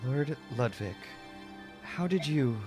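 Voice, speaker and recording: A man's voice: mid-range voice, animated, slow pace, over music, voice pushed up. A man voicing a composed, guarded noblewoman spymaster in a video game, formal and coolly defiant. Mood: startled.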